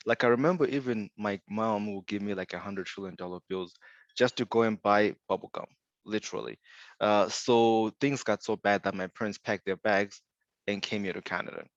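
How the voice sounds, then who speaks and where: A man's voice: fairly low pitch, steady tone, moderate pace, dry recording, lo-fi sound. A young man from Zimbabwe giving an upbeat webinar presentation, telling stories with asides. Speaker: insurance sales director presenting.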